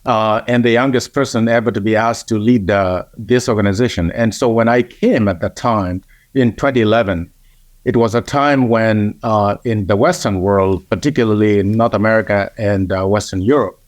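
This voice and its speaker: A middle-aged man from Kenya, speaking as an interview guest in a measured way with frequent pauses. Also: fairly low pitch, no room sound, clean studio sound. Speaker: interview guest, organization president. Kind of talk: conversational interview.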